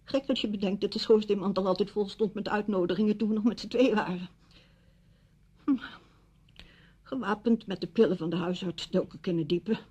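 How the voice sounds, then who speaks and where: A woman's voice: mid-range voice, fairly even intonation, fairly quick, warm tone, husky, lightly acted. An actress in a radio drama playing a bitter, wounded single woman confronting her friend with intense reproach. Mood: bitter, reflective. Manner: reproachful, emotionally intense monologue.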